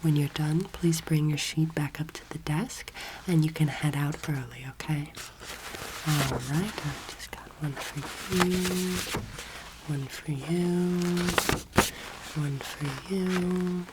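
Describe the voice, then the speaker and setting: A young woman playing a patient, earnest alien anatomy teacher in a soft-spoken ASMR roleplay, breathy and often whispering, measured and instructive. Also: low voice, steady tone. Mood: calm, instructive. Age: young adult. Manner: measured, instructive, gently authoritative. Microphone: close microphone. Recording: clear background noise, layered clicking sounds.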